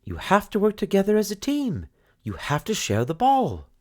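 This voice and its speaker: A man's voice: wildly swooping pitch, normal speed, a little mellow. A man doing an upbeat female coach. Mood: urging. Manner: encouraging, lifted pitch. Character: female soccer coach animal, cheerful and encouraging.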